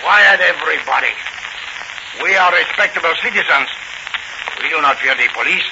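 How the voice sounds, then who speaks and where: An old, very noisy radio recording carries a man acting as a suave racket boss. He pitches his voice high and gives commands with sly, menacing courtesy, in 1940s radio style. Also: normal speed, highs cut off, lightly acted. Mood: haughty menace.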